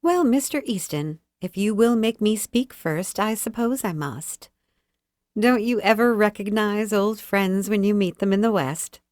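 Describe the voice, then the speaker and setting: A reader plays a young society woman from a classic short story. She is poised and warm, speaking with genteel deliberation in a dry, close recording. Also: mid-range voice, unhurried, no echo, voice pushed up, lightly acted. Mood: poised, teasing.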